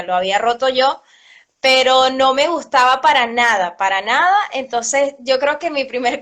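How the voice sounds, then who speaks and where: Chatty Venezuelan woman, higher voice. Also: sharp tone. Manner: chatty, laughs often, many fillers like "este". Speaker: cello teacher and orchestra program director. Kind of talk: casual interview answers.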